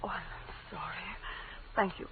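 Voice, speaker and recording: Actress as a troubled, refined young wife, tinny sound. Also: female voice, in a whisper, close to an everyday voice. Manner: genteel, halting, breaking off mid-sentence. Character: refined, troubled, good-looking young wife seeking help. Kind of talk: 1940s radio acting. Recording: narrow-band, noisy 1940s radio recording.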